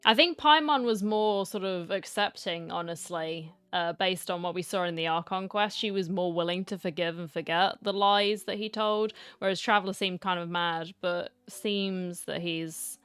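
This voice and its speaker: A woman's voice: fairly low pitch, normal speed, few pauses, a bit bright, clear, resonant tone, no room sound. A woman giving casual commentary while playing through a video game story. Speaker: gamer reacting to a story quest.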